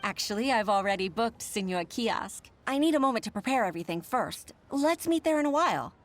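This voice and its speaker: A woman voicing an earnest middle-aged female secretary and mother, speaking warmly and hesitantly with soft laughs. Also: a little high, expressive, fairly quick, lightly acted. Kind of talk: game voice acting.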